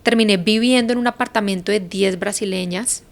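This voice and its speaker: A woman's voice: medium pitch, a little fast. A Colombian woman in her thirties, a podcast guest talking reflectively and thinking aloud with lots of fillers. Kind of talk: casual conversation.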